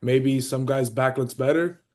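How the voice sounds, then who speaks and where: A man's voice: medium pitch, fairly even intonation, a little slow, darkish tone. Casual, profane man. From Miami, United States. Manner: loose and profane, heavy on "you know" fillers. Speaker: podcast guest, pro bodybuilder. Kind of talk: casual podcast interview.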